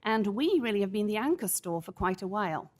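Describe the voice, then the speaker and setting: A middle-aged woman with a big, projecting voice gives formal testimony to a city council, speaking in a measured, emphatic, deliberate way into a podium microphone. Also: mid-range voice, animated. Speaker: public commenter at city council. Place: council chamber.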